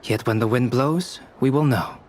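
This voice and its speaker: Guy doing calm brainy young man from a game, formal n precise, normal pitch, slight background noise. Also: lightly acted. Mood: calm, matter-of-fact. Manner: formal, measured. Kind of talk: English video game voice acting.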